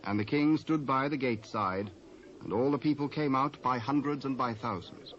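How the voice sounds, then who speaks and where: A British man narrating scripture in a measured, scripted style with archaic English. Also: medium pitch, fairly bright tone. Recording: muffled cassette transfer with faint noise.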